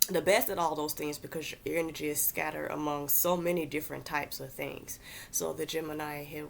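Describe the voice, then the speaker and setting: Female host, low register, stuffed-up sound, informal and halting unscripted delivery, slight rumbly background noise. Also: vocal fry. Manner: halting, searching for words, repeats herself. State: congested. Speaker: astrology content host. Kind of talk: casual unscripted explainer.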